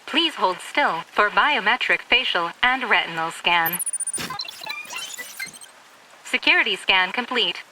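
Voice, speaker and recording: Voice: mid-range voice, expressive, takes it slowly, long pauses. A woman voicing an automated security scanner in an audio drama, making polite, procedural announcements over noise. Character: biometric security scanner announcing scan results.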